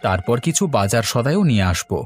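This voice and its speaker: A man's voice: mid-range voice, lively intonation, quick pace, lightly acted. A man voicing a patient, hardworking village father and fan seller in a cartoon story, plainspoken and mildly admonishing. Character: patient, hardworking village father who sells palm fans. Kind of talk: Bengali cartoon story acting. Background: music.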